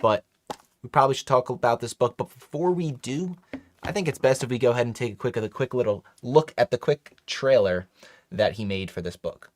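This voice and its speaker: A man's voice: mid-range voice, brisk. Upbeat man hosting a live stream. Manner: chatty, upbeat, talks to chat. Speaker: livestream host. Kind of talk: casual live stream chat.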